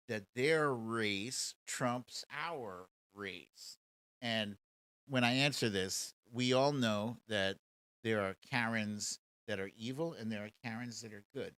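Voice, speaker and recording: American male livestream host, colloquial and mocking, lively pitch swings, leisurely pace, dry close sound. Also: dry recording. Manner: chatty, colloquial, mocking, laughs easily. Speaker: host of a live commentary show. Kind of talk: casual livestream commentary, reading and answering viewer questions.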